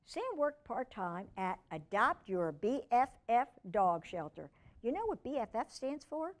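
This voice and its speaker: A woman's voice: a little high, very animated, unhurried. A woman reading a children's book aloud expressively, with chatty asides to young listeners and a pet. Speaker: children's storytime reader.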